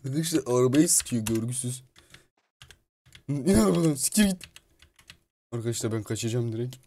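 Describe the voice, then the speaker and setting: A man giving excitable, slangy, foul-mouthed gameplay commentary as he chats in a roleplay game. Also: medium pitch, a little fast. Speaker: video host playing a roleplay game. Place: indoors at a computer, voice over gameplay. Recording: clear background noise, keyboard clicking.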